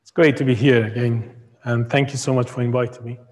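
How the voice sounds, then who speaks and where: A man in his thirties from Iran, speaking English with an Iranian accent, giving a halting personal testimony as a guest speaker in a church hall. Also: medium pitch, fairly even intonation, darkish tone. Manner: halting, with many ums and broken grammar. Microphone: far camera. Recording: echoey hall from far camera.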